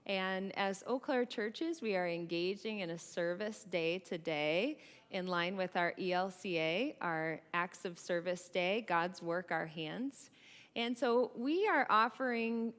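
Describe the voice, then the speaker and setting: A woman pastor welcomes viewers to a livestreamed forum from inside a church, her voice ringing with a long hall reverb. She talks in a friendly, chatty way at an everyday pitch. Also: fairly bright tone.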